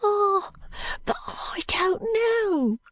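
Casting: female narrator playing a wretched, wary Cockney urchin in a storybook, high and breathy, halting and hushed. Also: expressive, no echo, exaggerated voice. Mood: fearful. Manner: hushed, halting, uneducated speech. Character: frightened, ragged London street boy, wretched and wary.

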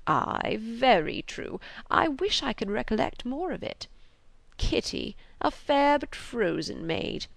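A woman voicing a gentle, fretful, doting old father in an audiobook, plaintive and hesitant, rambling along. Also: a little high, very animated, takes it slowly, vocal fry, dry recording, voice pushed up, heavily acted. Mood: wistful, rambling. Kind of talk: audiobook character reading of a classic novel.

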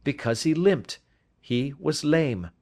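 A precise, lecturing Victorian detective lays out his reasoning with sharp emphasis, voiced by a male audiobook reader. His voice sits a little high and swings widely as he expounds, step by step. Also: lively intonation, slow.

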